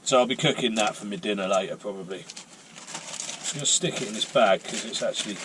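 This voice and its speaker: A man's voice: on the low side, a little fast, fairly bright tone, slight background noise. A man, hosting a casual vlog and chatting in a rambling, self-mocking way. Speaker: vlogger. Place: outdoors and in a car on a rainy day.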